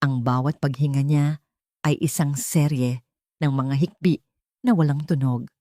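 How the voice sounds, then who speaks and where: A woman's voice: extremely low pitch, steady tone, unhurried, dark tone, no echo, clean studio sound. A woman with a Filipino accent giving a dramatic scripted narration of a story. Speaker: narrator of audio drama stories.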